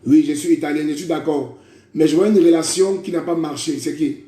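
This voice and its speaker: Man preaching, repetitive declaiming, mellow voice, raised pitch, reverberant room. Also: brisk. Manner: declamatory, repeating phrases, addressing listeners as brother. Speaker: prophet preacher. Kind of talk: prophetic sermon and online prophecy. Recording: echoey room.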